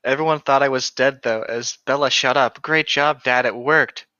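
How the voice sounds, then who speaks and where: A man's voice: mid-range voice, steady tone, moderate pace, sharp tone, dry recording. A man co-hosting a casual read-along, bantering and sardonic as he mocks the text, on a slightly muffled recording. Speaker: co-host of a comedic book-reading show.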